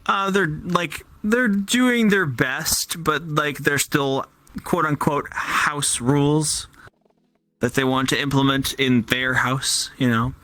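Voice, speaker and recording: A man's voice: on the high side, fairly even intonation, slow, sharp tone. Hesitant man in his twenties. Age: 20s. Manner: hesitant, restarts often, many ums. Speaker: guest streamer. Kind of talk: casual chat.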